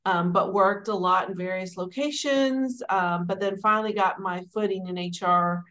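A woman's voice: mid-range voice, normal speed. Chatty woman from Dallas telling her story. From Dallas, Texas. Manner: warm, conversational, rambling with fillers. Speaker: guest, city administrator. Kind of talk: interview storytelling.

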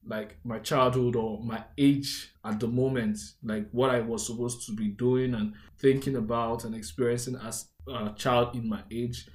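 A young man from Nigeria with a Nigerian accent, hosting a podcast and telling his own life story in an earnest, conversational way. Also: mid-range voice. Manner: earnest, conversational, warm asides and shout-outs. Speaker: podcast host. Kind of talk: personal storytelling monologue.

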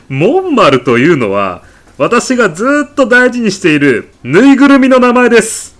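A man's voice: sits high, wildly swooping pitch, measured pace, a bit bright, exaggerated voice. A man playing a pushy, eccentric, absurdly self-assured supermarket customer in a comedy radio drama, arguing like an insistent salesman. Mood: smug, indignant. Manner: insistent, indignant salesman-like patter. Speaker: podcast actor.

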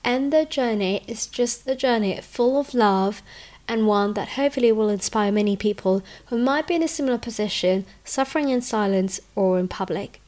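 A woman's voice: a little high, moderate pace, darkish tone. A woman hosting a podcast interview, chatty and encouraging with frequent affirmations. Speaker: podcast host.

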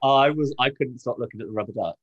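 Male podcast co-host, mid-pitched voice, informal and halting, walking through a plot conversationally. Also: highs cut off. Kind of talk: casual episode recap, conversational.

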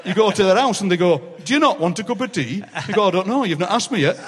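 An older man with a Yorkshire accent, a guest poet telling anecdotes on a stage with quick comic impressions and frequent laughter. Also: high voice, animated. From South Yorkshire, England. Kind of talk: live stage chat, storytelling. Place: large city hall on stage. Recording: long reverb of a large hall.

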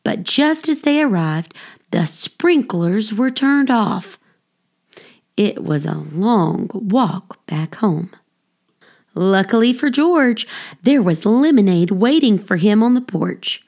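A woman reading a children's story aloud as scripted narration, with expressive, deliberate phrasing for young listeners. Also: medium pitch. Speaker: audiobook reader, children's storyteller.

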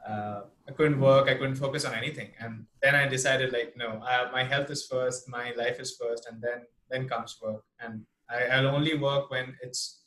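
Animated man in an echoey room. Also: medium pitch, moderate pace. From India. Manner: animated storytelling, quoting others. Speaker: interview host. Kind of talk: casual interview chat.

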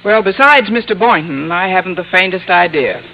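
An actress playing a sardonic, quick-witted woman English teacher in an old radio sitcom, with dry comic timing. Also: fairly low pitch, expressive, lo-fi sound. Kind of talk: 1940s-50s radio sitcom narration and acting. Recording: hissy vintage radio recording.

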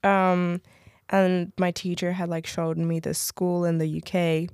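Chatty low-voiced young woman, British-tinged accent. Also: little pitch movement, unhurried, a little mellow, clear, resonant tone, no room sound, clean studio sound. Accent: British-tinged English. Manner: chatty, filler-heavy, laughs often. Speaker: actor, guest. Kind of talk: casual interview chat.

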